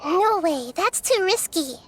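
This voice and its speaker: A woman voicing a gentle, wise, youthful goddess in an anime video game, speaking in a soft, girlish, measured and thoughtful way. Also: very high-pitched, lively intonation, slight background noise. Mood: earnest, cautious. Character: wise, gentle young-looking female god of wisdom, earnest and thoughtful. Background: background music.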